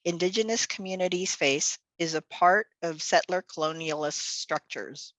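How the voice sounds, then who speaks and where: A woman's voice: fairly low pitch, normal speed, fairly bright tone, dry recording. Upbeat woman hosting a video call. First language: Tagalog. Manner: upbeat, chatty, many fillers. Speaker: executive director and event host. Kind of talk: virtual event welcome and announcements. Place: home or office on a video call.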